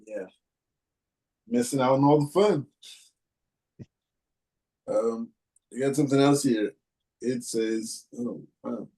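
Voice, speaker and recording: A male podcast host with a mellow, mid-pitched voice. He chats loosely and reads long passages aloud in a halting, even manner. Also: low-quality recording. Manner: laid-back, reads in a halting steady way. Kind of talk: casual chat and reading a listener post aloud.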